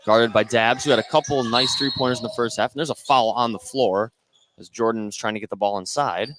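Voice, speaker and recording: Male announcer, American accent, live basketball radio commentary, medium pitch, short running phrases, light gym noise behind. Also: steady tone, brisk. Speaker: play-by-play sports announcer. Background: basketball game in a gym.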